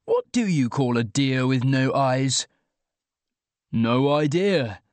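A man's voice: fairly high pitch, measured pace, a little mellow, dry recording, lightly acted. A man voicing an exasperated, sardonic young man, the hapless hero of an audiobook, wry and emphatic. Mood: teasing. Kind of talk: audiobook character dialogue.

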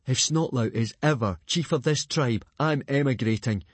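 A man voicing a sarcastic young Viking underdog in a children's audiobook, with a wry, deadpan manner. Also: mid-range voice, moderate pace, dry recording, voice pushed up, lightly acted. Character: dry, sarcastic Viking boy, loyal underdog friend. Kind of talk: children's audiobook character acting.

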